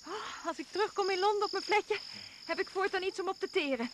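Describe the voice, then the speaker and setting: Young female adventurer: high voice, ironic, wistful, uneasy underneath, noisy vintage radio recording. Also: fast talker. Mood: wry, wistful. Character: wry, romantic young woman, weary and secretly afraid. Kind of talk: radio drama dialogue.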